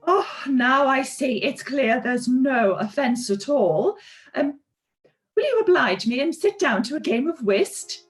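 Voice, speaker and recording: Woman doing a gushy society hostess aunt, high voice, really slow and drawn out, radio play. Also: lively intonation, faint music behind. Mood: gushing. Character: gushing, easily flattered society hostess aunt. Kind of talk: live-read period radio play acting.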